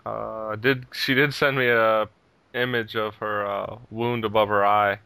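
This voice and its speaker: A man's voice: fairly low pitch, flat delivery, unhurried, creaky, pushed and bright, clean tone, dry recording. A man hosting a casual gaming podcast, chatting easily and chuckling between remarks. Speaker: podcast host.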